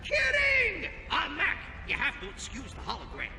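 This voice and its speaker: An actor playing a wisecracking, fast-talking alien greeter at an arena fight night in a video game, with jokey, teasing patter. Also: male voice, very high-pitched, huge pitch swings, creaky, echoey room, big-room sound, over music, full character voice. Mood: brash, teasing. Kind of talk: video game cutscene acting.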